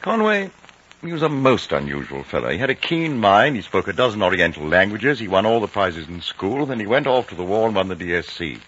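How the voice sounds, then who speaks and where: An actor playing an educated, reflective English man telling a story in a measured literary manner, in an old radio drama. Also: lowish voice, sing-song, fairly bright tone. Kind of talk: 1950s radio drama narration. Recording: dull, noisy vintage radio recording.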